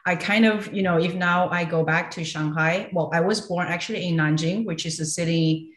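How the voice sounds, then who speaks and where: A woman from China, in her thirties, answering podcast questions hesitantly with many pauses and light laughs. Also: on the low side, steady tone, roomy sound. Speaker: guest entrepreneur.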